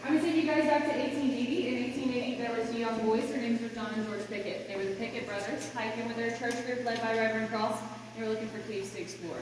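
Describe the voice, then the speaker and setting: A woman's voice: fairly high pitch, steady tone, fast. A woman from Louisiana working as a cave tour guide, telling stories in a chatty, joking way to her group. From Louisiana, United States. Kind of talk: guided tour narration with casual storytelling. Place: inside an echoing rock cave. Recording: echoey cave, distant mic, noisy.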